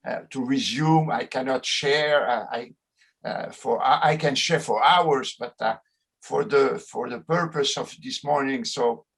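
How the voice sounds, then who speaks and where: A middle-aged man with a French accent, a sociologist giving an online lecture, halting often with fillers and restarts. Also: medium pitch, fairly bright tone, slightly rough.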